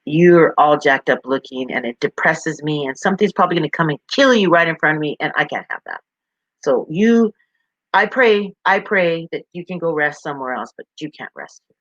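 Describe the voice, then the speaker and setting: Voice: mid-range voice, lively intonation, normal speed, a bit bright, no echo, dull audio. A storyteller voicing a bitter, hacked-up alder tree with blunt, slangy, falsely sympathetic refusals in a children's story. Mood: bitter, refusing. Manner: blunt, slangy, self-protective.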